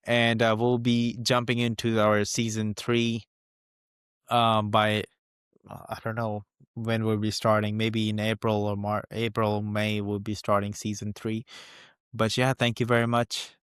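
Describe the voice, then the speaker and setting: A man hosting a casual interview show, speaking haltingly and often rephrasing himself. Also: lowish voice, monotone, fairly bright tone, clear, resonant tone, dry recording, studio quality. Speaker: webisode host.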